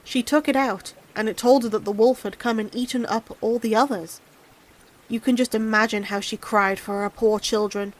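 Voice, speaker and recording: High-voiced woman reading a storybook. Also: steady tone, moderate pace, poor audio. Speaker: audiobook narrator and streamer. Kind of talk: expressive storybook narration.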